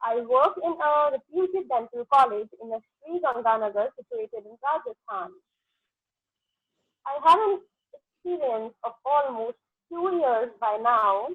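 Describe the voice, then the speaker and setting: A woman's voice: high voice, lively intonation, measured pace, breathy edge, dry recording. A woman in her thirties with an Indian English accent, a native Punjabi speaker, answering exam questions hesitantly with many fillers. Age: 30s. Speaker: exam candidate, dentist. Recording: tinny phone-like line.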